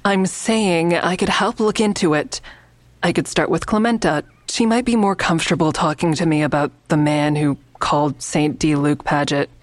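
A woman playing a guarded, earnest woman in an audio drama, speaking in a measured, evasive way. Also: deep voice, steady tone, no room sound, close to an everyday voice. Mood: guarded, insistent. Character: guarded, earnest woman negotiating with police, also narrating. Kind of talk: naturalistic audio drama acting and narration.

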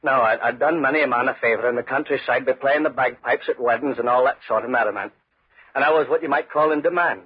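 A man's voice: medium pitch, quick pace, slightly rough. An actor playing a humble, uneasy tenant farmer who tells his tale in earnest, folksy 1940s radio style. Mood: earnest storytelling. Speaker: narrator and lead character. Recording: muffled, noisy 1940s radio recording.

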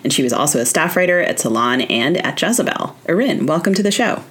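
A woman's voice: sits low, lively intonation, a little fast, husky. A woman with an American accent, hosting a podcast, introducing and interviewing guests. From United States, Maryland. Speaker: podcast host.

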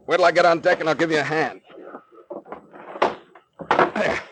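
An actor playing a brisk, level-headed captain in a 1940s radio drama, clipped and commanding in manner. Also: male voice, fairly high pitch, steady tone, fairly bright tone, natural voice. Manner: clipped, commanding, matter-of-fact. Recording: noisy 1940s radio recording.

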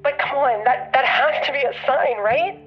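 A woman's voice: high-pitched, moderate pace, lightly acted. An actress with an American accent playing an anxious, grieving woman leaving a hesitant, rambling, confessional voicemail in an audio drama. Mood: pleading, upset. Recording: muffled lo-fi phone message with music behind.

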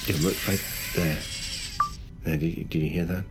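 Casting: male actor for grave male briefing leader, rock-bottom pitch, halting and ominous, audio fiction, heavy score underneath. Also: fairly even intonation, music in the background, lightly acted. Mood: grave, intent.

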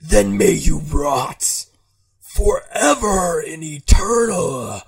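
A man voicing a menacing demon lord of darkness in a comic story read-aloud, bellowing pompous proclamations in a strained, raspy voice. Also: on the high side, expressive, extremely slow, exaggerated voice. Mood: cursing.

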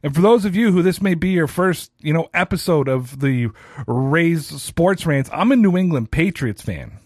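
A man's voice: on the high side, expressive, normal speed, no room sound, clean studio sound. Chatty man in his thirties ranting. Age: 30s. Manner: casual, chatty, self-deprecating. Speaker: podcast host. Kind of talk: solo sports rant podcast.

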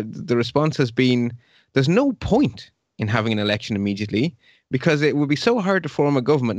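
A man's voice: mid-range voice, no echo. Brief: wry man, mid-range voice, very dry close sound, long explanations. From Belgium. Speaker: podcast co-host. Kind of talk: casual current-affairs chat.

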